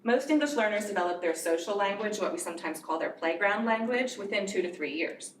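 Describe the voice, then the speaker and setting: A woman's voice: medium pitch, lively intonation, fairly quick. Woman teacher in an echoey room. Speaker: teacher of English learners. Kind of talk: prepared speech at a board meeting. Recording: echoey room from far off, noisy.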